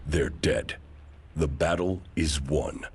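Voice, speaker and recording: An actor playing a stoic, terse ex-commander in a video game, with a gravelly, deliberate delivery. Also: male voice, extremely low pitch, darkish tone, soft background music. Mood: stern, determined. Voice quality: gravelly, creaky. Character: stoic deep-voiced male ex-commander, deserter turned war fighter. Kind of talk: dramatic game dialogue.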